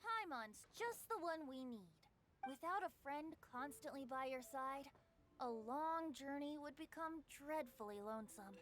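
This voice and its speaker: Friendly earnest young game girl, high lilting voice, music under it. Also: a bit bright. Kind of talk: animated game cutscene dialogue. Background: background music.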